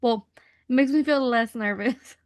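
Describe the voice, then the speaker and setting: A woman's voice: a little high, moderate pace, no echo, studio quality. A woman attending an online training, giving brief, polite replies. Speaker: participant in a training. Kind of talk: casual webinar chat.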